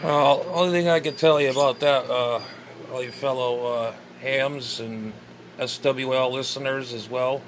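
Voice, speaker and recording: A man's voice: medium pitch, fairly even intonation, unhurried, low-quality recording. A man who runs a ham radio station chats casually. He rambles hesitantly with lots of filler words over a faint hum of background noise. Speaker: amateur radio operator. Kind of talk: casual vlog commentary.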